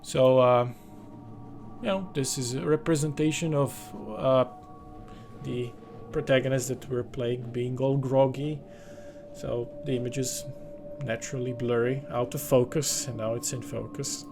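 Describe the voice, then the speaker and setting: Guy doing a game overview, reads the story out and comments, normal pitched voice, music behind him. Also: steady tone, unhurried. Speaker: gaming channel host. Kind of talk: game overview commentary mixed with reading the game's story text aloud. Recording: music under the voice.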